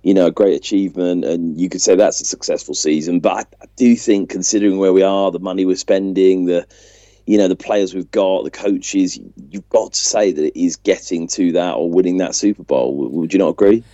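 A man's voice: deep voice, fairly even intonation, fairly quick, warm tone, no echo. A man co-hosting a sports podcast, giving casual analysis in a conversational way. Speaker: podcast co-host. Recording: slightly dull, muffled audio.

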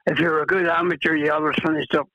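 An older man from Ireland with a cold, reminiscing as an interview guest, halting with many restarts and fillers. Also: fairly high pitch, little pitch movement, sharp tone, dry recording, highs cut off. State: has a cold, clears throat. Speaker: retired golfer, guest.

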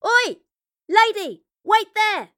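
A brash young woman in an audio drama, loud and high, voiced by a woman. She pants as she speaks and gives her words bluntly, in a dry, close sound. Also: expressive, brisk, dry recording. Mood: shouting. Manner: shouting, blunt, slangy. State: out of breath.

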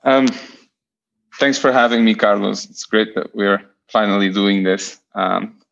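A man's voice: on the low side, measured pace, creaky, a bit bright, lo-fi sound. A man from Portugal in his thirties, a venture investor telling his career story in a podcast interview, hesitant with many repeated starts.

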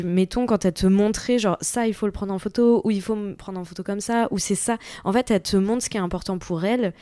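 A woman's voice: medium pitch, warm tone, no echo, studio quality. A woman interviewing an artist in a casual chat, hedging and restarting as she frames her thoughts. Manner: tentative, hedging, many restarts.

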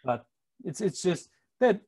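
A man's voice: sits high, sing-song, slow, darkish tone, breathy edge. A man with a German accent, speaking English as a second language, interviewing a guest hesitantly, with many restarts and repeated words. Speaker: podcast host, interviewer. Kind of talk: casual interview chat.

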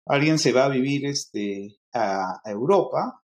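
A man with a Peruvian accent, a guest lecturer explaining ideas thoughtfully in a podcast interview, often pausing on fillers. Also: mid-range voice, lively intonation, a little hiss or hum. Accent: Peruvian Spanish. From Peru.

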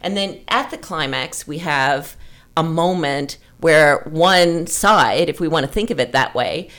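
A woman's voice: low-pitched, measured pace, a bit bright. A woman hosting a conversational literary podcast, explaining ideas and asking leading questions. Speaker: podcast host.

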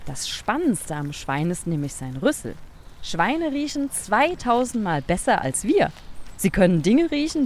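A woman's voice: medium pitch, darkish tone, slight background noise. A woman co-hosting a children's science podcast, playful and explanatory in a scripted audio play. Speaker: podcast co-host.

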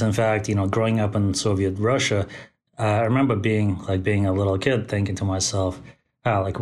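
A man in his forties, born in Moscow and raised in Central Asia, speaks thoughtfully as a podcast guest, pausing often to find his words. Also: fairly low pitch, a little mellow. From born in Moscow, raised in Tajikistan. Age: 40s. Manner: thoughtful, many fillers and restarts. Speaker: guest, company founder. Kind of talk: podcast interview. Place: Bay Area.

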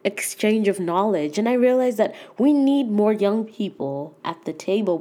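A woman's voice: medium pitch, warm tone. A young woman with a cold and a nasal, congested voice, hosting her own podcast as a chatty, earnest solo talk with lots of fillers. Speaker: podcast host. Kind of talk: casual solo podcast chat.

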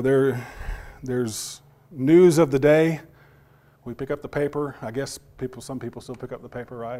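Guy preaching a sunday sermon, voice half gone and kinda hoarse, stops and repeats himself, asks the church questions. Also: medium pitch, mellow. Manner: halting, repeats words, asks the congregation questions. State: partly lost voice, recovering.

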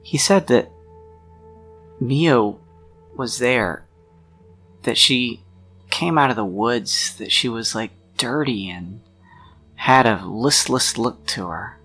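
A man playing a troubled, protective friend of a missing woman in a role-play drama, speaking in a halting, deliberate, sincere way with a gravelly voice. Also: mid-range voice, lively intonation, sharp tone, full character voice. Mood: uneasy, recounting. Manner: halting, deliberate, pausing mid-sentence. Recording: music under the voice.

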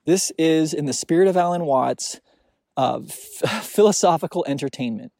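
Male podcast host with a soothing, slightly high voice; reflective solo talk, halting with restarts, dry close recording. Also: creaky, darkish tone, no room sound. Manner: halting, searching, with many restarts and fillers. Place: outdoors on a snowy mountain hike.

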